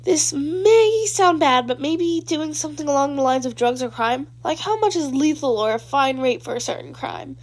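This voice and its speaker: Chatty reckless teen boy played by a woman, high, eager and cheerful, for audio fan fiction. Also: lively intonation, moderate pace, hardly any pauses.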